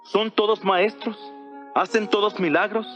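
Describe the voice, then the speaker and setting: An earnest apostle addresses his church in a slightly high male voice. He speaks in solemn, measured phrases with rhetorical weight, as in an audio Bible drama. Music plays softly behind him. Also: fairly even intonation, dull audio. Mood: exhorting. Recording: voice over background music.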